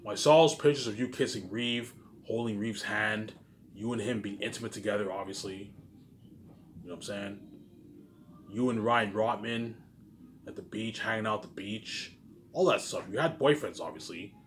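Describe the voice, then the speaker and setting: A man in an unscripted, repetitive and halting monologue to viewers, sounding aggrieved and letting phrases trail off quietly. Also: fairly low pitch, slight background noise. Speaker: online vlogger.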